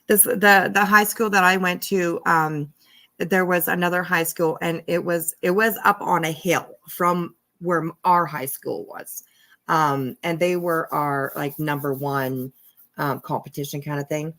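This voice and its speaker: Slow-talking woman with a creaky voice. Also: fairly low pitch, fairly even intonation, fairly bright tone. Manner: giggly, irreverent, swears. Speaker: livestream host. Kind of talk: casual commentary, reading court papers aloud.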